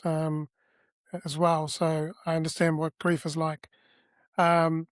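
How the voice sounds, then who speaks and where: A man hosting a podcast, reading a guest introduction and asking questions in an earnest, slightly halting way. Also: fairly high pitch, no echo. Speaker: podcast host. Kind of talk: scripted introduction then interview questions.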